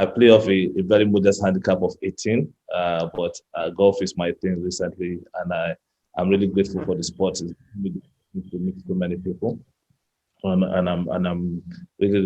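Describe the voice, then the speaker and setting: A man's voice: low-pitched, warm tone, breathy edge. A Nigerian man in his fifties giving a chatty personal talk as a guest speaker, often repeating words and restarting his sentences. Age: 50s. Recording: muffled lo-fi sound.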